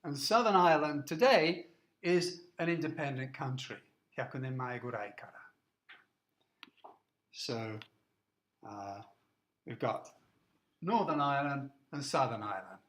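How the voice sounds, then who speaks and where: A man's voice: medium pitch, animated, a little slow, pauses often, fairly bright tone. An English man giving a classroom lecture, explaining step by step and often checking with "okay?" and "uh". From England. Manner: plain explanatory, frequent "okay?" checks and "uh" fillers. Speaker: university lecturer. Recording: echoey classroom, distant microphone.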